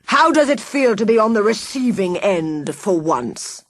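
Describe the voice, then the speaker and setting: A scheming villain mastermind who sneers and lingers over every threat, as a woman reader puts him on. He speaks slowly and deliberately in a dry, close recording. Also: medium pitch, sing-song, no echo. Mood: sneering menace.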